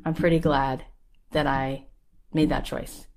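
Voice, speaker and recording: Low-voiced woman giving an emphatic pep talk. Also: level tone, measured pace, a little mellow. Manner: emphatic, rallying, conversational. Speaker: podcast host and speaker. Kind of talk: motivational talk.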